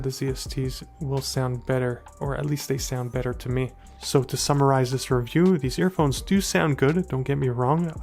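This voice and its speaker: A man's voice: medium pitch, darkish tone. A man hosting a casual product review, talking conversationally and directly to his viewers. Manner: conversational, addresses viewers as guys. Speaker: tech reviewer, channel host. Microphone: USB desktop condenser microphone.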